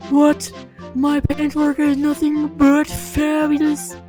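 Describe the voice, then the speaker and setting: A woman's voice: sits high, monotone, slow, music in the background. A woman voicing a vain, fussy male steam engine in an amateur children's story reading, boastful and exclamatory. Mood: indignant, boastful.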